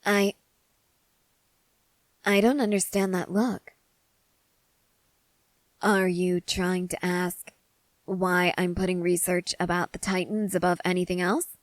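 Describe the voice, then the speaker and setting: A woman's voice: fairly low pitch, little pitch movement, slow, long pauses, no room sound. A woman playing an eager, intensely curious researcher-soldier who rambles in precise, analytical sentences in a scripted ASMR roleplay. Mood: earnest, intrigued.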